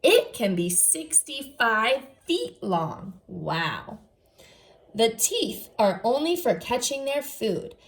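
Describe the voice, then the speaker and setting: A woman's voice: a little high, sing-song, slow. A woman teacher reading aloud to young children, enunciating carefully and adding short explanatory asides. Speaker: first grade teacher.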